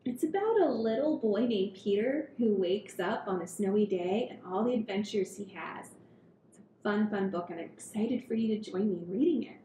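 A woman in her thirties reading a picture book aloud to small children as a story hour host, with expressive, drawn-out phrasing. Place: small indoor room at a table. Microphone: headset. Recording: roomy echo, low rumbling noise.

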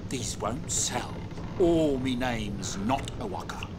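An actor playing a scruffy, chatty merchant on a ship who talks in a wheedling, boastful and colloquial way in a video game. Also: male voice, mid-range voice, expressive, slow pace, background noise. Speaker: game character. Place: game audio played behind a commentator.

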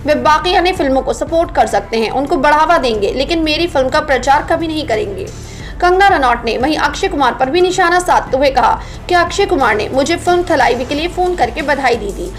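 A woman's voice: high-pitched, animated, brisk. A woman narrating scripted entertainment news as a voice-over. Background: background music.

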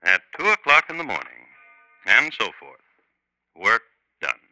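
A man hosting and narrating 1950s radio crime drama in dry, deliberate, clipped phrases. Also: lowish voice, wildly swooping pitch, pushed and bright, faint music behind, poor audio. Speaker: host and narrator.

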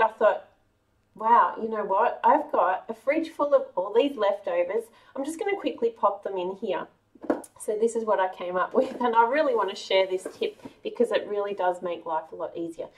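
Chatty Australian woman, mic a bit distant. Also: medium pitch. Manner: cheerful, chatty, enthusiastic. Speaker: cooking channel host. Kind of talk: casual kitchen vlog. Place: large home kitchen. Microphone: camera a few meters away.

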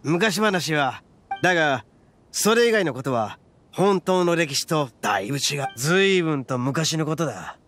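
An actor playing a brash, proud young horned oni gang boss in an anime game, with rough, blunt, swaggering speech. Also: male voice, fairly high pitch, expressive, measured pace, fairly bright tone. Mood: solemn, explaining.

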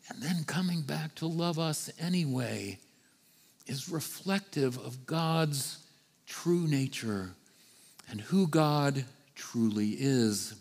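A middle-aged man preaching a sermon as a priest, with deliberate phrasing and emphatic repetition. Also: on the high side. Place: church sanctuary, standing before the altar. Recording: reverberant church hall.

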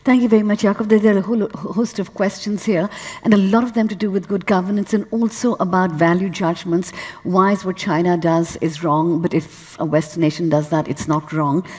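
A woman moderating a panel discussion on a conference stage, calmly thanking speakers and calling on the audience. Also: lowish voice, brisk, husky. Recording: long hall reverb.

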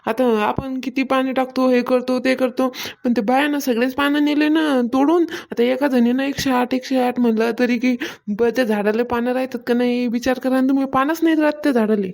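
A woman's voice: high voice, steady tone, moderate pace, creaky, darkish tone. A woman playing an aggrieved village housewife in a cartoon comedy, complaining colloquially with a rural Maharashtrian accent. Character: aggrieved village housewife fretting over her worship. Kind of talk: amateur cartoon comedy dialogue.

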